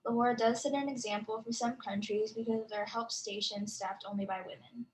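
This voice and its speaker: Young woman w a slightly high voice reading her group's slides over a video call, steady and measured, trips on a word now and then. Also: slight background noise, poor audio. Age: young adult. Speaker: youth ambassador presenter. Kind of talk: reading a prepared presentation.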